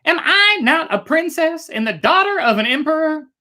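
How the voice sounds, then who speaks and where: A woman's voice: sits high, big pitch swings, normal speed, sharp tone, pitched above the performer's own voice, heavily acted. A woman voicing a brave, eager young princess in a fairy tale, earnest and emphatic. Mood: determined, pleading. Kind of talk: fairy-tale storybook character.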